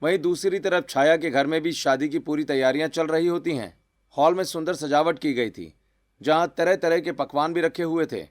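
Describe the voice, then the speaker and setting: Higher-voiced male story narrator. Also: moderate pace, dry recording, studio quality. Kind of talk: scripted Hindi story narration.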